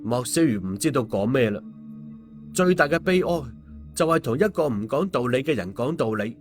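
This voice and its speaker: A man's voice: medium pitch, animated, normal speed, soft background music. A man with a Hong Kong Cantonese accent narrating stories and commenting on them in a colloquial, opinionated way as host. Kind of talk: story narration and casual commentary.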